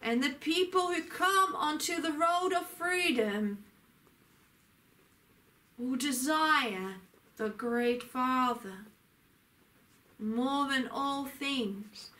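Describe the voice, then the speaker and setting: A woman preaches a channeled sermon in a high, bright voice. She speaks very slowly and solemnly, drawing each phrase out with long pauses, and declaims like a prophet addressing the faithful. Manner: solemn, declamatory, pausing often. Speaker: spiritual channeler.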